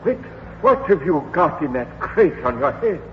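A man's voice: fairly high pitch, very animated, moderate pace, highs cut off, a faint crowd. A man playing a blustering, cowardly old army major in a radio comedy, yelping and spluttering. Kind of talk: 1950s radio comedy acting. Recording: old radio broadcast, hiss, studio reverb.

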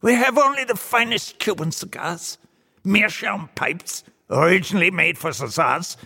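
A man playing a suave, fawning old devil with a raspy, pompous, theatrically courteous delivery in a very reverberant hall. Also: high voice, animated, moderate pace, pushed and bright, heavily acted. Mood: indignant, grandly obliging. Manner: pompous, plummy, exaggerated courtesy.

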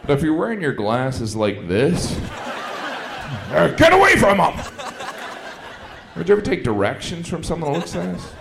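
A man doing a stand-up routine on a club stage, deadpan and self-mocking, acting out quoted voices. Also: fairly high pitch, moderate pace, vocal fry, long pauses. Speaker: stand-up comedian. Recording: echoey, noisy club recording.

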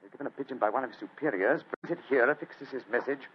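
An actor playing a shrewd, assured Victorian gentleman detective with a British accent, speaking precisely in an old-time radio drama. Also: medium pitch, natural voice. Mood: musing, grave. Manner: precise, deliberate, authoritative. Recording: muffled, noisy old radio recording.

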